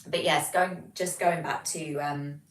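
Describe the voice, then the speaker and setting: A young British woman hosting a livestream from her flat, reading out chat messages and rambling casually with lots of ums. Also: sits low, takes it slowly. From Britain. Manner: chatty, rambling, many ums. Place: home, flat. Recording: echoey room, distant mic, faint noise.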